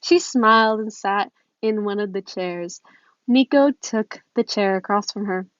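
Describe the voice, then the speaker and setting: A woman's voice: medium pitch, unhurried. A woman reading fan fiction aloud in an informal, amateur way, recorded on a phone. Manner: informal, giggly when flubbing lines. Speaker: amateur podfic reader. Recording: muffled, roomy echo, phone recording.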